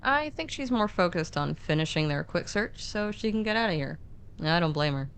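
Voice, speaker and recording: A woman's voice: fairly low pitch, lively intonation, moderate pace, fairly bright tone, slight background noise. A woman narrating a story conversationally, informal and adding her own comments as she goes.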